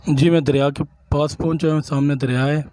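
A man, a village vlogger from Punjab, calmly explaining sights to viewers while he walks outdoors and films on his phone. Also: on the high side, normal speed. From Punjab, Pakistan. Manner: calm, explanatory, addresses viewers directly. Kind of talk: walking tour narration.

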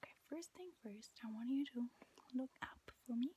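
A young adult woman whispers softly and gently gives instructions in an ASMR medical exam roleplay. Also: sits high, moderate pace, slightly rough. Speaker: ASMR performer playing a medical examiner. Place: small home bedroom, indoors.

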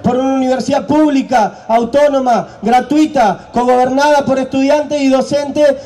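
A man's voice: extremely high pitch, fairly even intonation, moderate pace, mellow. A young man delivering an emphatic solidarity speech at an outdoor rally through a handheld mic. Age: young adult. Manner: emphatic, raised-voice oratory. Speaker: student federation delegate. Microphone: handheld mic over a PA.

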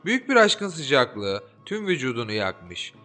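A man narrating a fairy-tale audiobook in an expressive, lilting storytelling manner. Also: mid-range voice, unhurried. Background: soft background music.

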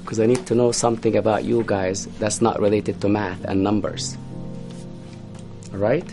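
An actor playing a calm math teacher with dry, deadpan humor, in a classroom. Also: male voice, fairly low pitch, normal speed, over music, close to an everyday voice. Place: classroom indoors.

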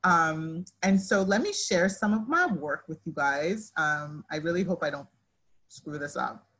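A woman's voice: low voice, unhurried, slightly rough. A woman in her thirties who grew up in Nigeria, a fashion designer speaking on a video call from her living room, talking with her hands and full of restarts. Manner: animated, talks with her hands, many likes and restarts. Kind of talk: casual panel conversation. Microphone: laptop webcam on video call.

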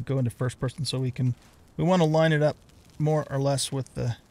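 A man's voice: mid-range voice, gravelly, darkish tone, a little hiss or hum. A middle-aged man giving casual let's play commentary as a YouTube host, rambling and thinking aloud as he plays.

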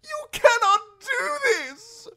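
A man voicing a pompous older highborn lord, a pampered prisoner with a screechy edge, in a fantasy audiobook. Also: big pitch swings, unhurried, exaggerated voice. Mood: desperate, outraged. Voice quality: shrill, screechy when upset. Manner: pompous, theatrical, aristocratic.

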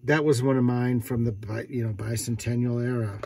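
Brief: older man, mid-pitched, reminiscing, halting with ums, basement. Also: steady tone, creaky, noisy background. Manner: reminiscing, hesitant with ums and repeats. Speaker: homeowner showing his toys. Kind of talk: casual chat. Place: basement full of old toys.

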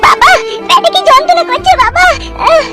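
A woman voicing a wronged young daughter in a comedy cartoon, with a shrill, melodramatic delivery over loud music. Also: moderate pace. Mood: pained wailing.

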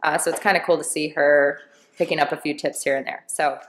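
A woman's voice: low-pitched, fairly even intonation, fairly quick. A woman chef interviewing a friend in a home kitchen, chatty and friendly, with plenty of fillers. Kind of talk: casual interview. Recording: roomy home kitchen sound.

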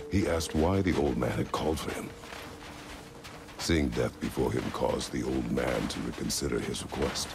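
An actor playing a stern, stoic, huge middle-aged warrior father in a video game, with gravelly rumbling and formal, measured, terse speech. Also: background noise, exaggerated voice. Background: music.

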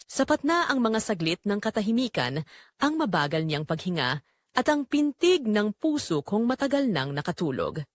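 A woman's voice: medium pitch, very animated, moderate pace. A woman reading scripted, first-person dramatic narration.

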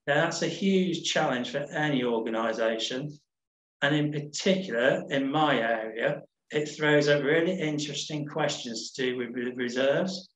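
Middle-aged man, relaxed talk over slides, echoey webcam audio. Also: medium pitch, normal speed. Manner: relaxed, rambling, many restarts and ums. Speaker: nature reserves manager. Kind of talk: casual presentation over slides. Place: home room on a video call.

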